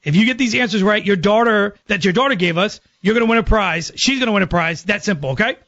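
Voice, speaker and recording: A man's voice: high-pitched, fast talker, a bit bright, muffled recording. An American man hosting a radio show, talking casually to callers in a phone-in prank segment. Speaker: radio host.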